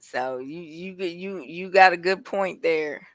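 A woman's voice: fairly low pitch, slow, no echo. A woman chatting casually on a talk show, sharing her opinions. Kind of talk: casual chat, anecdotes and opinions.

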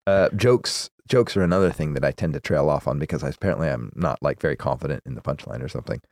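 A man's voice: rumbling low, quick pace, gravelly, darkish tone, dry recording. A man co-hosting and producing a podcast in casual banter, laughing often and letting his voice trail off at the ends of thoughts. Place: podcast studio. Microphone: studio microphone. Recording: dry studio sound.

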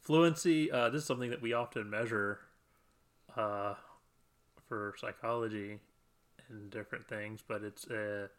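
A man's voice: on the low side, steady tone, a little slow, long pauses. A man co-hosting a podcast, chatting casually in a rambling, self-deprecating way and laughing often. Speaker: podcast co-host. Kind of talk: casual chat.

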